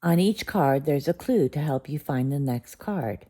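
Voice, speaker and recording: A woman's voice: deep voice, expressive, measured pace, warm tone. A woman voicing a polite, thoughtful young heroine in a children's bedtime story. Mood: encouraging.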